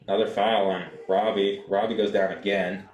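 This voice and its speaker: A man's voice: fairly low pitch, normal speed, a crowd in the background. Young man calling soccer, roomy sound. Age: young adult. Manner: animated, descriptive calls. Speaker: play-by-play sportscaster. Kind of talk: live soccer radio commentary.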